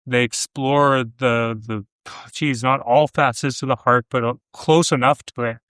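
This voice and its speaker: Smooth-voiced man musing on faith. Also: medium pitch, steady tone, unhurried, a bit bright, no room sound, studio quality. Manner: reflective, builds lists of examples. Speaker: podcast host. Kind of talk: casual faith discussion.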